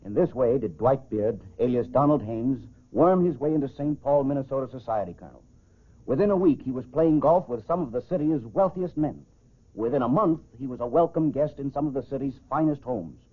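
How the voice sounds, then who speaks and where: A medium-pitched American man, an actor as a formal crime narrator, telling the case in scripted 1940s radio style. The sound is narrow and phone-like, like an old broadcast. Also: brisk, close to an everyday voice. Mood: matter-of-fact. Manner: formal storytelling. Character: male crime-case narrator reporting to a colonel.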